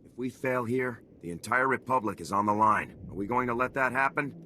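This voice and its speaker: An actor playing a firm, authoritative military squad leader in a video game. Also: male voice, medium pitch, fairly quick, sharp tone, slight background noise, lightly acted. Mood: rallying. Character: commanding male soldier, resolute squad leader.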